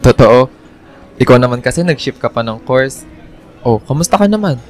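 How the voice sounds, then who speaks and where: A man's voice: mid-range voice, expressive, moderate pace, faint music behind, natural voice. A man playing a friendly, sincere young crush in a Filipino radio drama, easygoing in manner. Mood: friendly banter. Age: young adult.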